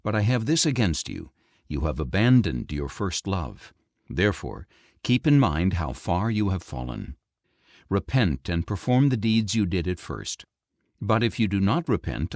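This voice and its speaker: A man reading scripture as an audiobook narrator, in a solemn, measured, declamatory way. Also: low-pitched, darkish tone, no room sound, clean studio sound. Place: dry recording studio.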